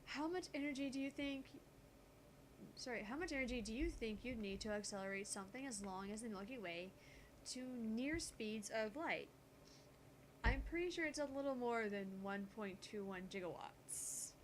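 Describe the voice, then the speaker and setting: Woman doing a calm gutsy genius teen girl explaining science, highish voice, bit of noise, visual novel. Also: moderate pace, close to an everyday voice, rough recording. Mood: explanatory. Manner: explanatory, lecturing. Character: calm, gutsy eighteen-year-old genius girl lecturing on physics.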